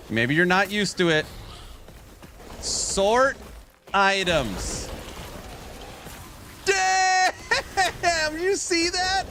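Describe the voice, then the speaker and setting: Late-30s male game streamer, unhurried, jokey chat-reading banter. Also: sits high, noisy background. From California. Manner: jokey, reads viewer chat aloud and banters. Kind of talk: casual livestream chat while gaming.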